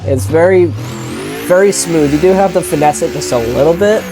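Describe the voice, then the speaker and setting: A man with a stuffy nose from a sinus infection, giving relaxed, rambling commentary while driving a racing sim. He often restarts his sentences and blurts out short exclamations. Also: on the high side, moderate pace, music in the background. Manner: rambling and easygoing, with self-corrections and sudden exclamations while driving. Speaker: sim racing video creator. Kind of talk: casual gameplay commentary.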